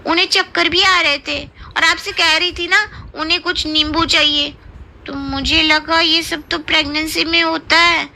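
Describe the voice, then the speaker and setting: A woman's voice: high-pitched, pushed and bright, exaggerated voice, rough recording. A woman voicing a naive, gossipy young woman in a cartoon story, eager and girlish. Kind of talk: Hindi cartoon moral-story voice acting.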